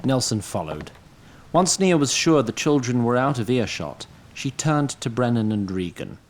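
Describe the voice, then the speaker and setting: A man's voice: mid-range voice, very animated, normal speed. A man reading scripted storytelling narration as the narrator of an audio drama.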